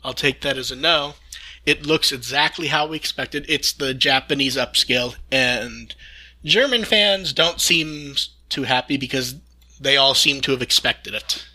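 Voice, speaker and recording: A man's voice: medium pitch, a little slow, pushed and bright, low-quality recording. A man hosting a podcast, talking casually through news with a wry, dry sense of humour. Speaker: podcast host.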